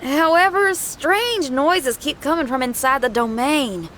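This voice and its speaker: A woman's voice: high-pitched, animated, measured pace, fairly bright tone, a little hiss or hum. A woman voicing a spirited, loyal young female rocker in a video game, with a folksy, plain-spoken manner. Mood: cautious.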